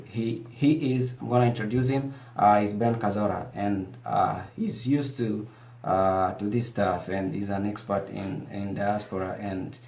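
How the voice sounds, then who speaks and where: Male studio host, low-pitched and halting; roomy studio sound. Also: a little mellow. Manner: halting, many fillers and restarts. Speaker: TV show host. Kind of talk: hosting an interview, switching between English and Swahili.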